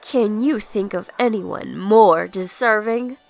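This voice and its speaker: A woman's voice: medium pitch, takes it slowly, vocal fry. A woman playing a ruthless, ambitious rebel leader in a comic dub, commanding and cynical. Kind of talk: comic-book dub acting.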